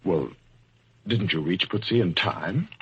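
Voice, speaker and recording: An actor playing a flustered, officious tribunal chairman in a 1940s radio drama, hemming and formal in a husky voice. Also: deep voice, unhurried, a bit bright, heavily acted. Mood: businesslike. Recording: dull old-time radio recording.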